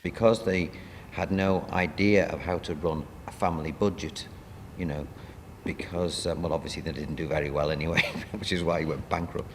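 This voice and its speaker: A man speaking as a playwright and producer in an interview, reminiscing in a halting, conversational way. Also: low-pitched, fairly even intonation, long reverb tail, a little hiss or hum.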